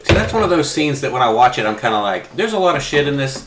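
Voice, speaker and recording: A man's voice: mid-range voice, sing-song, quick pace, noisy background. A man chatting casually and jokingly on a podcast panel, recorded in a roomy space.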